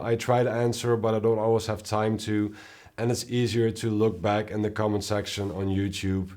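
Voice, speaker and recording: A man with a low voice and a non-native accent, answering viewer questions in a rambling, relaxed way. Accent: non-native English accent. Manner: rambling, relaxed, many fillers. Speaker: musician and video host. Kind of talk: casual Q&A vlog.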